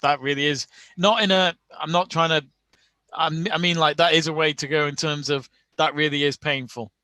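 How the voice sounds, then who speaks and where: A man chats loosely through a live football watch-along, tripping over restarts and breaking into laughs. His voice sits a touch high and sounds bright and forceful. The recording is dry and close. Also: steady tone, normal speed, no echo. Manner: chatty, many restarts and laughs. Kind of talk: casual live-stream chat.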